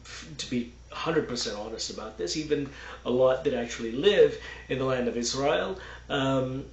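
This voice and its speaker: A man raised in Texas, speaking unscripted to the camera, halting with many fillers and restarts as he explains. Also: mid-range voice, roomy sound, highs cut off. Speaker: religious commentator. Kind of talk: unscripted video monologue.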